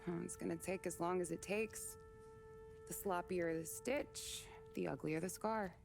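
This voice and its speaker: A woman's voice: fairly low pitch, a little slow, creaky, over music. An actress playing a tough but tender young working-class city woman, wry and frank, in a cinematic period game. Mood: intimate, reflective.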